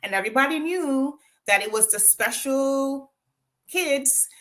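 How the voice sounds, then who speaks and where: A woman's voice: high voice, lively intonation, measured pace, a bit bright. A woman from Jamaica with a Jamaican accent, telling her own story as an interview guest, reflective, with frequent ums and tag questions.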